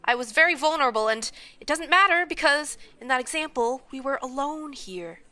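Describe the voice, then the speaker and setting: Woman doing snarky bossy young pizza shift boss, bright forceful highish voice, radio play comedy. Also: expressive, brisk. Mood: flustered.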